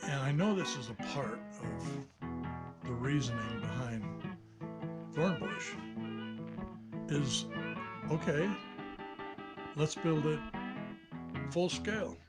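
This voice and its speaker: A man's voice: on the high side, lively intonation, takes it slowly, long pauses, over loud music. A man of sixty-nine giving an unscripted, reflective vlog monologue, hesitant and rambling as he thinks aloud. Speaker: hobbyist maker presenting own video channel.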